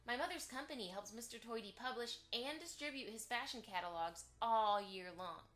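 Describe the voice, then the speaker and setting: A woman's voice: fairly high pitch, lively intonation, moderate pace, fairly bright tone. A woman playing a spiteful, condescending young unicorn filly from a rich family in an amateur audio drama. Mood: snooty disdain. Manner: condescending, haughty.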